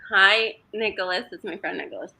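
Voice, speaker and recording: A woman in her thirties, a Greek native speaker, talking openly as a podcast guest, with halting restarts. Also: mid-range voice, creaky, pushed and bright, breathy edge, poor audio. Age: 30s. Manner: open, laughs easily, halting restarts. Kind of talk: casual podcast chat.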